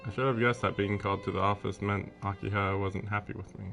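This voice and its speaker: A man's voice: fairly low pitch, little pitch movement, fast talker, vocal fry. A man live streaming a visual novel, reading its text aloud and breaking off for casual, wry, incredulous commentary. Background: game music with strings.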